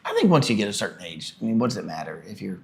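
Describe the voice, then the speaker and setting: A man in his forties, a pastor co-hosting a podcast with his wife, musing in relaxed casual chat and laughing often. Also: lowish voice, racing, vocal fry. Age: 40s.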